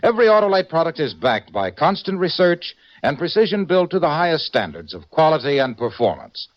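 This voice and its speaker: A man delivering a polished, emphatic 1950s radio sponsor pitch as announcer. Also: sing-song, a little fast, no echo. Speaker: commercial announcer. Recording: dull vintage radio recording.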